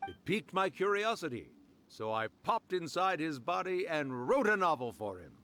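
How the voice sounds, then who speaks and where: A man playing a mischievous, boastful fox-spirit yokai in a video game, with a theatrical, teasing, drawn-out delivery. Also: fairly high pitch, sing-song, fairly bright tone, slight background noise. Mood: jaunty boasting. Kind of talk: video game character acting.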